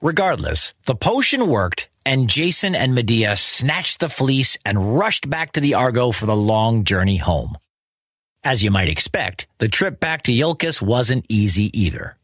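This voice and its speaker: A man's voice: medium pitch, very animated, measured pace, a bit bright, dry recording, studio quality. A man telling a scripted children's story as host, in a wry, conversational manner with dramatic emphasis. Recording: music behind the voice. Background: music.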